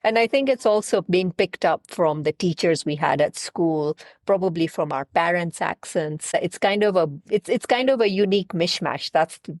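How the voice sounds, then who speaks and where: A woman's voice: lowish voice, a little mellow, dry recording, studio quality. A woman from Kenya with an accent mixing British, American, Indian and Kenyan sounds, chatting as an interview guest who often repeats words as she starts a phrase. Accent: mixed British, American, Indian and Kenyan. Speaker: guest, travel planner. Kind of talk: casual interview chat.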